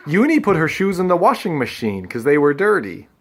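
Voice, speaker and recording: A man chats casually with small children in a very animated, up-and-down voice. He is playful and encouraging, playing along with them at home. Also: a little high, fairly quick. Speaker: father. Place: indoors at home.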